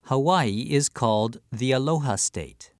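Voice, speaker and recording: Slow, deliberate man reading test items. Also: mid-range voice, lively intonation, no echo, clean studio sound. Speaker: listening test reader.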